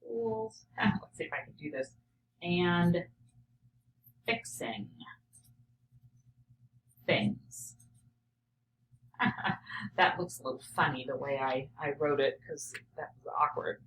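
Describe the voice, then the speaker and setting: A woman teacher reading a picture book aloud and pausing to reflect on it out loud, often checking in with "right?". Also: sits low, big pitch swings, creaky, slight background noise, poor audio. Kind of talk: read-aloud of a picture book with think-aloud commentary.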